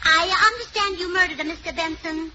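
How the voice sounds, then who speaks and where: A woman's voice: very high voice, a little fast. A woman playing a scatterbrained wife in a 1940s radio comedy. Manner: scatterbrained, ditzy. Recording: dull lo-fi old radio recording with clear hiss.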